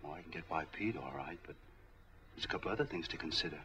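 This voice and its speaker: A streetwise male peddler chatters in lively, quick slang. He is friendly and eager to oblige, in the style of 1940s film acting, with faint noise on the old soundtrack. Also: low voice. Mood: helpful.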